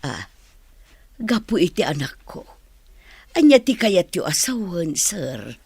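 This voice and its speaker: A woman's voice: lowish voice, sing-song, slow pace, a little mellow, breathy edge, dry recording, heavily acted. A woman acting in an Ilocano radio drama as a humble, middle-aged mother from a poor village. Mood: humble, wary.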